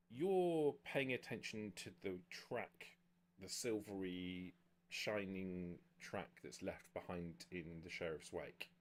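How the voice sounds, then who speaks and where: A man with a deep voice runs a tabletop roleplaying session. He explains rules and sketches scenes off the cuff, often pausing and restarting as he works out the wording. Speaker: game master. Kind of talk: improvised narration and rules talk at a tabletop roleplaying game.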